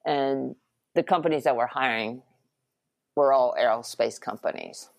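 A middle-aged woman from Japan, an animation producer, recounting her career in a relaxed interview with frequent ums and restarts. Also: rumbling low, unhurried, gravelly, no echo, clean studio sound. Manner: reflective, many ums and restarts.

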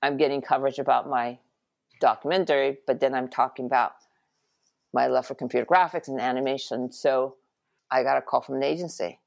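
Gravelly-voiced woman telling her story. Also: very deep voice, fairly even intonation, a little fast, darkish tone, dry recording, clean studio sound. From Japan. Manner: reflective, many ums and restarts. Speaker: guest, animation producer. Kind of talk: relaxed interview storytelling.

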